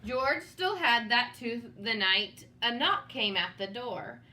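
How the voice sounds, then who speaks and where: Bright, expressive woman reading a storybook. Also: on the high side, slow. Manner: dramatic, teacherly, with asides to young listeners. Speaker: storybook reader. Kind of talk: expressive read-aloud for children.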